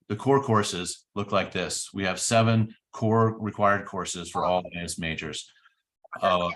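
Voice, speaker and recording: A man's voice: low-pitched, fairly bright tone. A middle-aged man giving an informational presentation about a study program, explaining step by step with frequent fillers. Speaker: program director.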